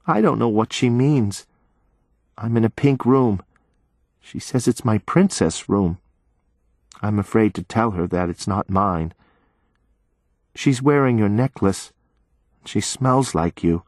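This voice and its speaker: A man's voice: fairly low pitch, dry recording, clean studio sound, lightly acted. A man voicing a frightened small child who pleads in a plaintive way, read as audiobook narration.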